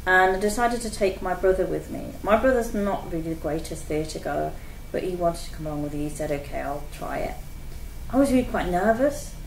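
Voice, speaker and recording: A woman telling a personal story to camera in an earnest, expressive way, as a theatre-goer. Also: on the low side, fairly quick, creaky, a little hiss or hum. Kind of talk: personal account told to camera. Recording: echoey room, mic at a distance.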